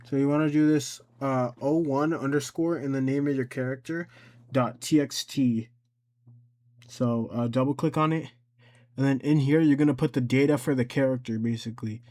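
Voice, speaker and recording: A man with a medium-pitched voice hosts a casual software tutorial. He explains things step by step in an informal, unscripted way, often thinking aloud as he works. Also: steady tone, normal speed. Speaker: tutorial host.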